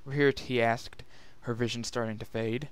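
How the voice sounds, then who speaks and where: A man's voice: medium pitch, fairly even intonation, normal speed, clear, resonant tone, no echo. A man narrating a scripted story as a dramatic reading. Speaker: narrator and reader.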